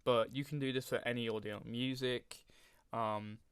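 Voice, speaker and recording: A man narrating a casual software tutorial, speaking informally and hesitantly as he thinks aloud. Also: mid-range voice, fairly even intonation, normal speed, dry recording. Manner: frequent throat clearing. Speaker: tutorial host.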